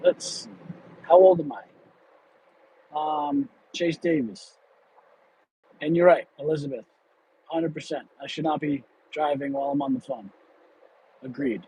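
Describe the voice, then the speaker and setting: A man's voice: on the high side, unhurried, long pauses, warm tone, rough recording. A man in his late thirties, hosting an unplanned livestream while driving in traffic. He talks casually and candidly, rambling with fillers and restarts. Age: 30s. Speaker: livestream host. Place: car in traffic.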